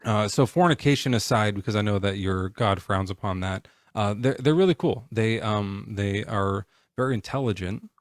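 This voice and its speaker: A man in his forties hosting a live stream, chatting casually in a halting, rambling way with lots of fillers. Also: fairly low pitch, no echo, clean studio sound. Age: 40s. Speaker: livestream host.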